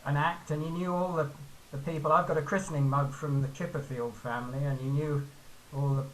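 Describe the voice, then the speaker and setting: A man from Glasgow telling a casual, rambling anecdote haltingly on a video call. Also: fairly high pitch, fairly even intonation. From Glasgow, Scotland. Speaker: folk club member. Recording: hissy, noisy video call audio.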